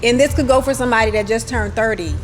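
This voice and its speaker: A woman's voice: a little high, quick pace, a little hiss or hum. Candid woman in her fifties, echoing hall. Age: 50s. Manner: candid, conversational, uses tag questions. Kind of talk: panel talk.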